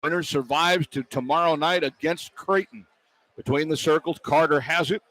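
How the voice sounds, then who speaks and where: A man doing live radio basketball play-by-play, describing the action in short, clipped phrases that build toward excited calls. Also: fairly high pitch, normal speed, poor audio. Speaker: play-by-play announcer. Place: broadcast position at an indoor arena.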